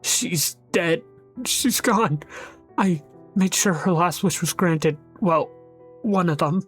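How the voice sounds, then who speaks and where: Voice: mid-range voice, slow pace, husky, soft background music, voice pushed up. A narrator acting a raw, grief-stricken gravedigger. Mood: exhausted grief. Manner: curt, swearing, raw. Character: grief-stricken, exhausted, short-tempered gravedigger.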